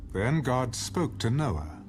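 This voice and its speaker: A man narrating scripture from a script as an audio Bible, solemn, formal and measured. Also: medium pitch, big pitch swings. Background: music under the narration.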